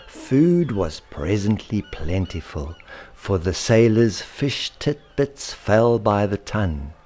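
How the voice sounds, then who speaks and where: A man narrating a rhyming children's story with theatrical, deliberate emphasis. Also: low-pitched, darkish tone, husky. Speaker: author and storyteller.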